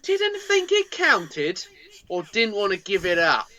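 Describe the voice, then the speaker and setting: A woman's voice: mid-range voice, huge pitch swings, slow pace, bright, forceful tone, dull audio, heavily acted. A woman playing an angry, headstrong stranded passenger in an amateur radio play, combative and sarcastic. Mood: scornful.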